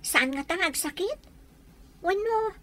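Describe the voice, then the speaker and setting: A woman's voice: very high voice, animated, unhurried, fairly bright tone, heavily acted. A woman with an Ilocano accent playing a concerned, chatty young student in a radio drama. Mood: puzzled, concerned.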